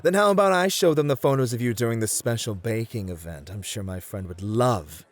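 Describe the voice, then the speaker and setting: A man's voice: medium pitch, lively intonation, brisk, natural voice. A man playing a cheeky, flirty, playful club host in an ASMR roleplay. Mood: cheeky, teasing. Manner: playful, bantering, polite to guests.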